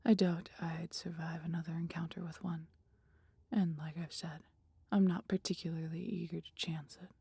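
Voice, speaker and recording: A woman voicing a caring, protective female dragon in an intimate, breathy ASMR roleplay, with gently formal, old-fashioned speech. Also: fairly low pitch, little pitch movement, moderate pace, dry recording. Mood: grave, reluctant. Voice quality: breathy, whispery.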